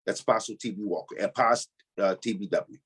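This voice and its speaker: Fast-talking, husky male pastor. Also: on the low side, a bit bright. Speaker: pastor, guest. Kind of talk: casual interview talk. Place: video call.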